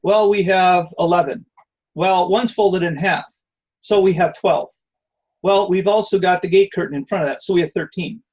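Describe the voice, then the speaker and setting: A man's voice: sits high, moderate pace, darkish tone, dull audio. A man giving an online presentation and answering questions, rambling with many fillers and restarts. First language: not Hebrew.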